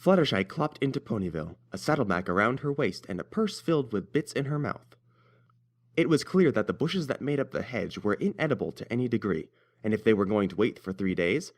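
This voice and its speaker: A man narrating a scripted story as its reader. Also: mid-range voice.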